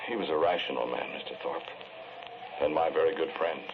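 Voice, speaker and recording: Low voiced sombre man confessing a crime of love, old radio play, really noisy muffled recording. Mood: sombre, reflective. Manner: measured, hesitant, brooding, repeats himself. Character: sombre, earnest man confessing to killing the woman he loved. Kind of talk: 1940s radio drama acting.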